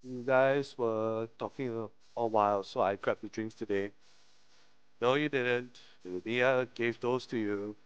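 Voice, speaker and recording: A man's voice: mid-range voice, steady tone, a little slow, clean tone, dry recording, highs cut off. A man live-streaming a visual novel, reading it aloud with slangy commentary and frequent stumbles over the text. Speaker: game streamer.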